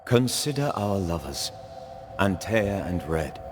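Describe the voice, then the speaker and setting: Game narrator, male ghost: very deep, unhurried, grave and regretful, theatrical delivery over noticeable noise. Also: big pitch swings, full character voice. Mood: solemn, haunted. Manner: solemn, measured, theatrical. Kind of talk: dramatic scripted narration.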